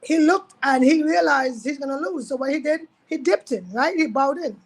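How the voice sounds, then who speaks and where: High-voiced woman bantering over sports. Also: moderate pace, low-quality recording. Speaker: co-commentator. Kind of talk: casual chat and sports commentary.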